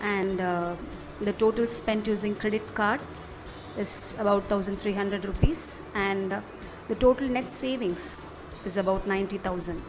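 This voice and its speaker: A woman with an Indian accent narrating an instructional software demo, explaining step by step in a measured manner. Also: mid-range voice, fairly even intonation. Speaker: product demo presenter. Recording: music behind the voice. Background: background music.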